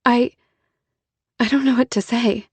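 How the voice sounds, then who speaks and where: A prickly, anxious young woman, as an audiobook narrator puts her on, pitched a touch higher and pushed a bit louder. Her replies come defensive and jumpy. The sound is dry and close. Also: fairly even intonation, measured pace, slightly rough, no room sound.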